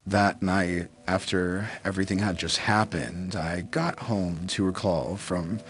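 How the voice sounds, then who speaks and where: Very deep-voiced, haunted rich businessman, acted by a man. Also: a bit bright. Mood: remorseful, pleading. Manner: halting, hesitant, repeating words. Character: guilt-ridden rich businessman confessing to his child. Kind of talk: dramatic roleplay voice acting.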